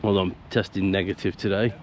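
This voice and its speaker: A man narrating a casual walk around a park fishing lake, croaky from illness, chatty and grumbling about the council. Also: deep voice, flat delivery, fast talker, a little mellow. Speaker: angler vlogger. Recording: outdoor camera audio with faint background noise.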